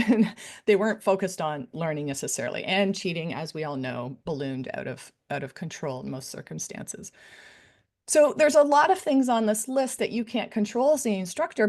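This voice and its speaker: A woman presenting to faculty in a conversational style, with frequent fillers and "right?" tag questions. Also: lowish voice. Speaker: academic integrity director giving a faculty talk. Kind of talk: conference presentation.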